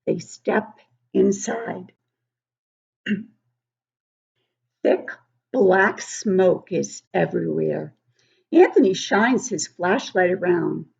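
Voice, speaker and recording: A woman reading a picture book aloud to young children in an expressive, explanatory way. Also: on the low side, drawn-out words, vocal fry, long pauses, slightly rough, lo-fi sound. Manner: animated, explanatory, child-directed.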